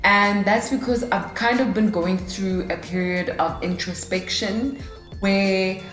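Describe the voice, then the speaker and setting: A woman's voice: mid-range voice, steady tone, takes it slowly, a bit bright, soft background music. Chatty young woman podcast host, roomy sound. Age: 20s. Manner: chatty, upbeat, self-deprecating. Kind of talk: casual solo podcast talk. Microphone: studio mic, alone in a room.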